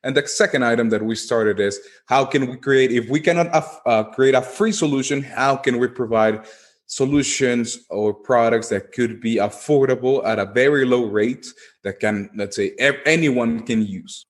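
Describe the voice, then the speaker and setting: A man's voice: mid-range voice, moderate pace. A man from Guatemala with a Guatemalan Spanish accent, explaining earnestly in an interview and often padding phrases as he goes. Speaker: podcast guest, legal tech executive.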